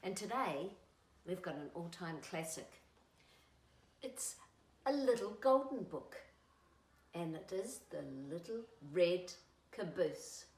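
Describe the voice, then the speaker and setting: A woman hosting storytime, speaking in an animated, singsong way to young children as she reads a picture book. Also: fairly low pitch, slow pace, pauses often, reverberant. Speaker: storytime host and children's author.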